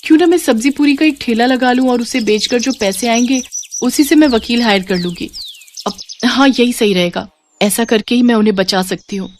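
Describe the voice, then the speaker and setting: A woman's voice: fairly high pitch, fairly quick, slight background noise, pitched above the performer's own voice, close to an everyday voice. A woman voicing a worried but determined young mother in a cartoon story, speaking earnestly as if thinking aloud.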